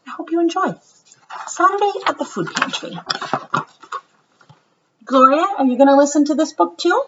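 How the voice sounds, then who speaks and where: A woman introducing a picture book to young children, speaking in simple, deliberate phrases as a read-aloud storyteller. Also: high voice, highs cut off, background noise.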